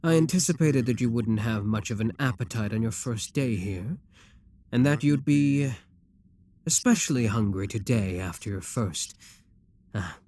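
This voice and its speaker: A man voicing a possessive, scheming demon king who talks to the listener in a calm, coaxing, self-assured way. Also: on the low side. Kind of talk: audio roleplay addressed to the listener.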